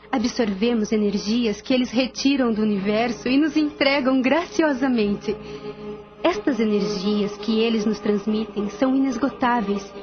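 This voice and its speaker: A woman's voice: a little high, close to an everyday voice. A Brazilian woman voicing a serene spirit nun who instructs students in a radio drama, formal and reverent. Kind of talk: radio drama acting, didactic explanation. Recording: dull lo-fi audio. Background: music.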